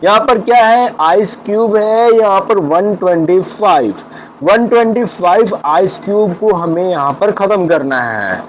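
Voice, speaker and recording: Guy hosting a game playthrough, pretty high voice, rambles and repeats himself, bit of noise behind. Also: animated, unhurried, rough recording. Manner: repetitive, rambling. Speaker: gaming host. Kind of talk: live mobile puzzle game commentary.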